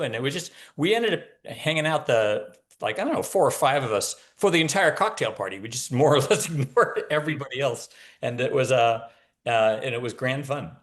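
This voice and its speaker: A man's voice: medium pitch, a bit bright. A man speaking as an author guest in a casual, conversational interview. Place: remote video call from a home.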